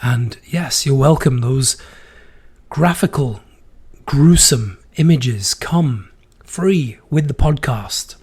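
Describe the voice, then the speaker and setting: Homme animateur de podcast enrhumé, voix fatiguée. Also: male voice, medium pitch, a little mellow, slightly rough. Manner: slow deliberate reading, then opinionated ranting. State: sick, losing his voice. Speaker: podcast host. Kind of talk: solo podcast with poetry reading and rants.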